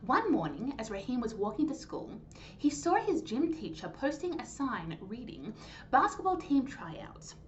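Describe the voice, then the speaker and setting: A woman hosting a storytime, reading a children's story aloud in an animated, clearly phrased way. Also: fairly high pitch, moderate pace. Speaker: storytime host and reader. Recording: dull lo-fi sound, faint noise.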